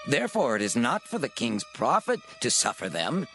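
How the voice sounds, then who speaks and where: An actor playing a scheming royal adviser in a dramatized scripture, formal, deliberate and persuasive as he petitions. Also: medium pitch, wildly swooping pitch, bright, forceful tone, faint music behind. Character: scheming royal adviser urging the king against a people.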